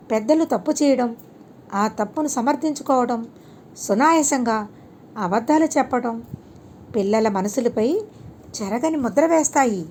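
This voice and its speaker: Storybook sage, played by a woman: serene holy teacher, gently admonishing, deliberate, higher-pitched soft tone, faint noise. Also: close to an everyday voice. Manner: calm, deliberate, preaching with rhetorical questions.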